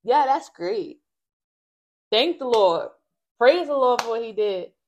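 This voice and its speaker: A woman in a casual faith discussion, talking in an animated, emphatic way, often saying "like" and describing her own voice as loud and projected. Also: fairly high pitch, slow, dull audio, slight background noise.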